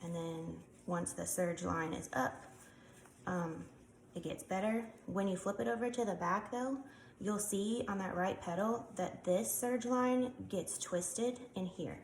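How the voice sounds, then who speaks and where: A woman's voice: medium pitch, unhurried. A woman with a congested voice, chatting casually as she demonstrates a craft step by step. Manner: chatty, explains while working with hands, frequent ums. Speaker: craft tutorial maker. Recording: echoey room, faint background noise.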